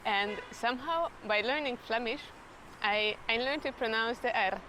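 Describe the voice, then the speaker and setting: A woman's voice: fairly high pitch, normal speed, bright, forceful tone, slight background noise, poor audio. A young woman with a Hungarian accent chatting in an interview, laughing often as she talks. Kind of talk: casual interview chat.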